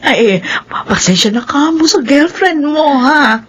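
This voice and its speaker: A high, sing-song older woman in a radio play, exclaiming with swooping pitch. Her lines rise and fall with eager feeling. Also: expressive, a little slow, a bit bright. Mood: apologetic. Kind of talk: Filipino radio drama acting.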